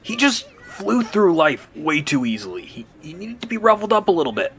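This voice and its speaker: A man playing a shifty, rattled young bully in an audio drama, speaking in a halting, defensive way. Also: high voice, big pitch swings, fairly bright tone, a little hiss or hum, full character voice. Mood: resentful. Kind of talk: audio drama dialogue, conversational.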